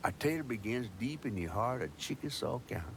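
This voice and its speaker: An actor playing a folksy Southern US storyteller, narrating a game tale in a theatrical, measured storybook manner. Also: male voice, mid-range voice, expressive, a little hiss or hum, lightly acted. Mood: folksy, unhurried. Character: folksy Southern male storyteller spinning a legend. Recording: music under the voice. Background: clear background music.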